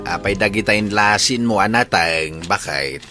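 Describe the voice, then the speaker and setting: An actor playing a firm, opinionated older man in an Ilocano radio drama, frank and deliberate in his advice. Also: lowish voice, animated, music in the background, natural voice. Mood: concerned, firm.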